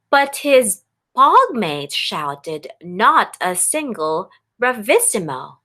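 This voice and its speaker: A woman pre-K teacher doing an expressive read-aloud for young children, instructive and addressing them with questions. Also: medium pitch.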